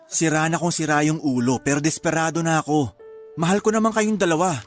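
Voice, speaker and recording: Actor as a desperate, coaxing husband. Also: male voice, on the high side, brisk, soft background music, close to an everyday voice. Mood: desperate, pleading. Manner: pleading, persuasive. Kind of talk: Filipino radio drama acting.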